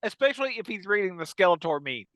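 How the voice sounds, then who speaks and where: A man with a bright, forceful voice, pitched a little high, chats as a podcast co-host. His talk is casual and comic, like friends riffing on a panel. The sound is dry and close. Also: sing-song, quick pace, dry recording.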